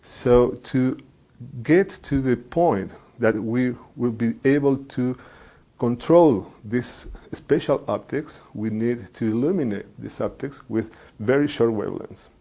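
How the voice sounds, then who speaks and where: Middle-aged researcher, measured and halting. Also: male voice, medium pitch, mellow. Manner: measured, pausing often with fillers, non-native phrasing. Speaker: engineering researcher. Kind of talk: explaining research to a general audience.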